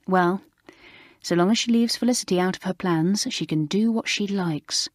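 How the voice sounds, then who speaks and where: A woman voicing a calm, soft-spoken schoolgirl in a low-key way, close to her own voice. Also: moderate pace, no echo, natural voice. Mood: musing. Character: cheerful head girl type, calm schoolgirl.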